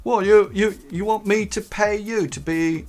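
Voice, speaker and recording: Eager, stammering recruit, played by a man; high-ish. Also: steady tone, measured pace, a little mellow, faint music behind. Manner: stammering, hesitant. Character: nervous, eager young male recruit.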